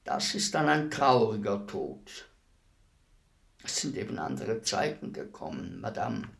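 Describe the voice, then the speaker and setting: An actor playing a world-weary, philosophical executioner in a radio play, speaking in a deliberate, brooding, respectful way. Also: male voice, fairly low pitch, sing-song, gravelly, full character voice. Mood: resigned, melancholy. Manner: deliberate, brooding, formally addressing a lady. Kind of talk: radio play acting, slow reflective monologue.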